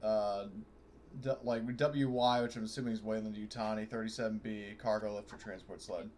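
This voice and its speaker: Low-voiced man gaming, faint background noise. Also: steady tone, darkish tone. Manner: sweary, halting. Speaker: tabletop game player. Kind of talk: casual game chat. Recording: noisy online voice call.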